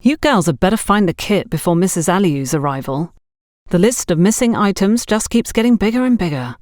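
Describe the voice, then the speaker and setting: A woman's voice: medium pitch, lively intonation, fairly quick, a little mellow, dry recording, natural voice. A narrator voices a stern woman supervisor who hands out blame. The delivery is pointed and scolding, close and dry, in audiobook drama style.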